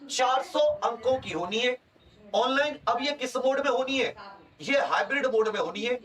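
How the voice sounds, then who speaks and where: A man's voice: extremely high pitch, fairly bright tone, distant mic, poor audio. A man giving an explanatory lecture as a coaching teacher, repeating key points for emphasis. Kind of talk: explanatory lecture to exam aspirants.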